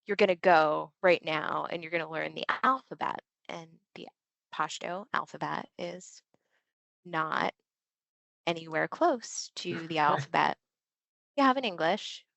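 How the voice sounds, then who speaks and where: A woman's voice: low voice, a little slow, creaky, fairly bright tone, no room sound. A woman, a Marine veteran linguist, talking candidly as a podcast guest, with loose fillers. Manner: candid and chatty, with filler words. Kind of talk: casual interview chat.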